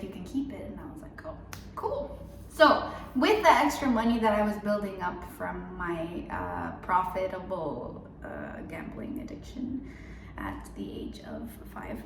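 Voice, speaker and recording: A woman's voice: medium pitch, noisy background. A young woman from Mexico chatting in a casual, upbeat devotional vlog, full of fillers like "um" and "like". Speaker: faith vlogger. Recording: roomy, a bit far from mic.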